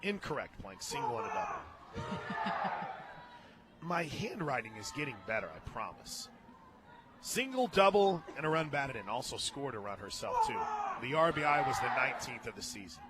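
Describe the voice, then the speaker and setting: An American man doing live radio play-by-play of softball, conversational, with wry asides between calls. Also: fairly high pitch, huge pitch swings, normal speed. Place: radio booth at a ballpark. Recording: noisy outdoor ballpark broadcast.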